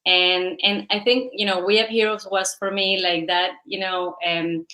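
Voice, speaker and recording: A woman's voice: medium pitch, steady tone, unhurried, bright, forceful tone, poor audio. A woman, a company founder answering interview questions in a rambling, enthusiastic way. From Venezuela and Spain. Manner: rambling, enthusiastic, many fillers.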